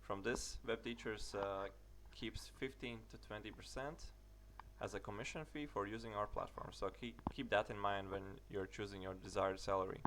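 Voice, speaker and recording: A young British man narrating a website walkthrough, halting with many fillers and restarts. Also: fairly low pitch, fairly even intonation, noisy background. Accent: British English. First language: English. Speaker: tutorial narrator. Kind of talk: screen-recorded tutorial walkthrough.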